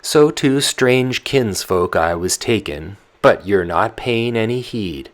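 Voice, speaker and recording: A man's voice: on the low side, expressive, takes it slowly, voice pushed up. A man voicing a fussy, devout old peasant nurse woman who exclaims fretfully. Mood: rambling.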